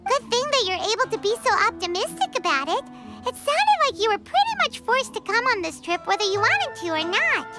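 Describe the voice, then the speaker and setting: Tiny female game fairy: extremely high, animated, perky and chatty, music behind. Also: fairly quick. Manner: refers to self in third person, exclamatory. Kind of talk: anime game voice acting.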